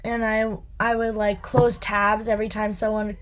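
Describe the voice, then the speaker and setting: A young woman from New Jersey, playful and quick to laugh, answering documentary interview questions. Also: medium pitch, flat delivery, slight background noise, rough recording.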